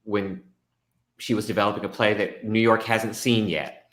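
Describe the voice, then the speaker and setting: A man, a stage actor and guest, talking through his work in a casual, animated way. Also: lowish voice, normal speed. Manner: animated storytelling, reenacts his own thoughts. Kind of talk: casual conversation. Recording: echoey room.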